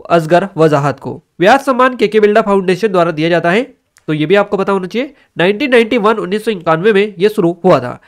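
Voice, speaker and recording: Guy teaching exam prep, pretty high voice, goes over key points again. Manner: instructive, repeats key facts for emphasis. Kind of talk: explanatory exam-review lecture.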